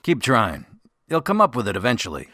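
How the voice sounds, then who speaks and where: A man plays a gruff policeman in a radio drama, offhand and wry. His mid-pitched voice is forceful and animated, recorded quite dry. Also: fairly quick, dry recording, heavily acted.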